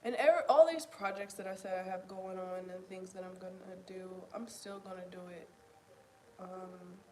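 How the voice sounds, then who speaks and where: A soft-spoken woman talking reflectively to the camera at home, pausing to think and at times dropping almost to a whisper. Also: mid-range voice, a little hiss or hum. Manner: pauses to think, drops to near whispers. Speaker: video journaler. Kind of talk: reflective casual talk to camera.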